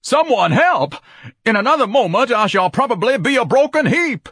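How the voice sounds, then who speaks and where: Pompous big man, ornate declamation, easily panicked, husky, very animated, dry audiobook sound. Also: a little high, normal speed, dry recording, voice pushed up, full character voice. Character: pompous, bellowing, paranoid large man, grandiose and easily panicked.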